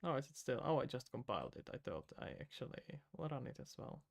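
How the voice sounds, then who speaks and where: A man's voice: mid-range voice, sing-song, fast talker, vocal fry. A man from the Czech Republic with a Czech accent, streaming live as an indie game developer, muttering to himself as he codes and casually answering chat. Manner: casual, mutters to himself while working, loose asides to chat.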